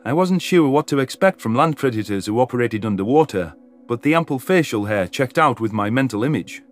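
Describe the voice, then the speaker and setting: A man's voice: mid-range voice, expressive, brisk. A man reading scripted first-person science fiction narration as an audiobook narrator. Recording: music behind the voice. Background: music.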